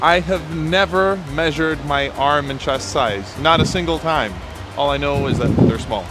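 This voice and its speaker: A man's voice: on the high side, a little slow, over loud music. A man in his early thirties answering viewer questions in a casual, chatty way as a fitness influencer. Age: early 30s.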